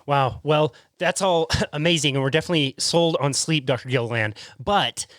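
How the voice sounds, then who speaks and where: An enthusiastic man hosts a podcast and asks his guest questions with an easy laugh. His voice is fairly high, and the recording is dry and close. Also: moderate pace, fairly bright tone, no room sound. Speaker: podcast host. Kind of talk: casual podcast interview.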